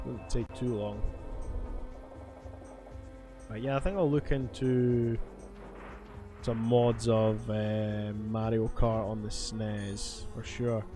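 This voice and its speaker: A man's voice: on the low side, flat delivery, slow, pauses often. A man giving rambling, sweary commentary as he plays a video game. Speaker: gaming video host. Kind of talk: casual gameplay commentary. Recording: faint game music behind. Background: video game music.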